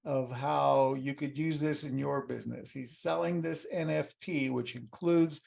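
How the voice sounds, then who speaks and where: A man's voice: medium pitch, steady tone, unhurried, creaky, a little mellow. A male podcast host chatting casually with a guest and giving an upbeat, rambling sign-off with calls to like and subscribe. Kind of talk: casual interview chat and sign-off.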